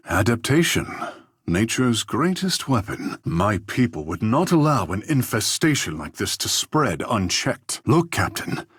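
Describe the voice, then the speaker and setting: A man's voice: fairly low pitch, slightly rough, no echo, studio quality, exaggerated voice. A man voicing a vain, flirtatious fish-folk mystic companion in a video game, speaking in a theatrical, courtly way with playful wit.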